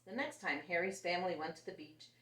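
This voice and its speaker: A woman reading a picture book aloud to young children in an animated, expressive way. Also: on the low side, fast, far from the mic, a little hiss or hum. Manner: animated and expressive, voicing characters. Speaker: children's story reader. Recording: roomy sound, set back from the mic.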